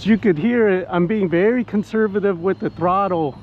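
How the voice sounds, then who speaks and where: A man giving casual, excitable live commentary outdoors to viewers as he pilots a model plane. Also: sits high, brisk. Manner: excitable, whooping, talking to viewers while piloting. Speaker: hobbyist RC pilot and vlogger. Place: outdoors at a flying spot in wind and rain. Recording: muffled outdoor audio with background noise.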